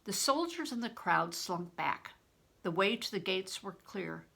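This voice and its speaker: An older woman reading a children's novel aloud as a storyteller, in expressive, deliberate audiobook narration. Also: medium pitch, creaky, husky.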